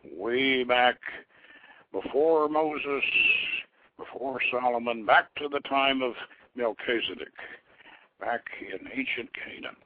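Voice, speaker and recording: A man hosting a radio show, speaking in halting, deliberate phrases with a husky voice, as storyteller and presenter. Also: mid-range voice, slight background noise. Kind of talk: informal show introduction and dramatic story reading. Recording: tinny phone-line radio sound.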